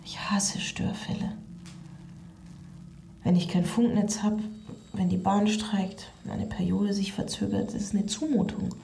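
A woman's voice: medium pitch, steady tone, measured pace, vocal fry, mellow, slight background noise. An actress in a radio drama playing an anxious, overwhelmed mother who broods and often drops to a whisper. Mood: overwhelmed, brittle. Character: anxious, overwhelmed woman, a stressed single mother. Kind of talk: radio drama inner monologue.

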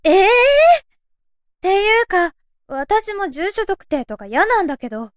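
A woman's voice: extremely high pitch, sing-song, measured pace, no room sound, heavily acted. A woman playing a grumbling young shrine maiden in an anime-style fan voice drama, with dry, exasperated retorts. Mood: puzzled, annoyed.

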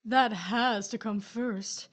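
A shrewd widow, acted by a woman for an audio horror drama. Her voice sits fairly high, and she is coolly vengeful and in command. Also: fairly even intonation, slow pace. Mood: coolly triumphant. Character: shrewd vengeful widow, calmly taking charge of her husband.

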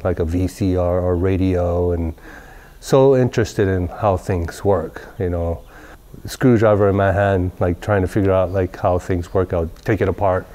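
A man in his forties from Southern California, talking casually in an interview in a large workshop, relaxed and rambling with many fillers. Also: deep voice. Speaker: company president. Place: large workshop garage, indoors.

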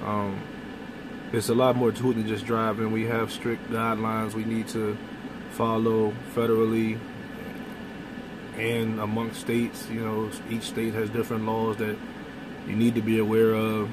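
Young male trucker, blunt talker, phone-recorded in the cab with steady road noise. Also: lowish voice, measured pace. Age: young adult. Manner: blunt, animated, with mild cursing. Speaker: truck driver vlogger. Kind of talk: casual vlog monologue. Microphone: phone camera close up. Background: vehicle and air-conditioning hum.

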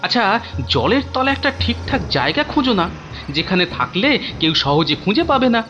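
A woman's voice: medium pitch, very animated, fairly quick, a bit bright, dull audio, slight background noise. A woman voicing a concerned, helpful crane in a children's cartoon, advising a friend. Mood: concerned advice.

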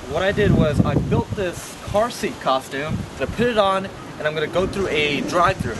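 A man's voice: a little high, animated, moderate pace. A young man talking casually as a prankster in a vlog, with noisy car and outdoor ambience. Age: young adult.

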